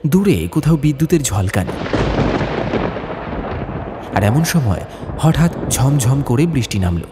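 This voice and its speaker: A man narrating a horror audiobook as a storyteller, with suspenseful, atmospheric delivery and deliberate phrasing. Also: mid-range voice, sing-song, heavy background noise.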